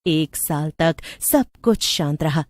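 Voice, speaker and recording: A woman's voice: deep voice, lively intonation, slow pace, a little mellow, no room sound, studio quality. A woman narrator reading a scripted story.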